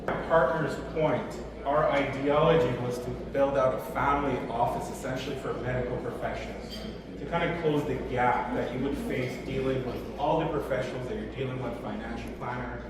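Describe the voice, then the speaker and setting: A man, a financial advisor, making a relaxed sales pitch to a conference audience, with some fillers and restarts. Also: a little fast. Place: large conference hall. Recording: echoey hall, distant mic, crowd noise. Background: crowd chatter.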